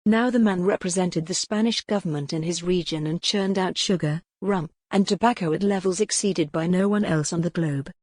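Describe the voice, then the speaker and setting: A woman's voice: on the low side, normal speed, hardly any pauses, a little mellow, dry recording. Woman narrating fiction in a dry space. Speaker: audiobook narrator.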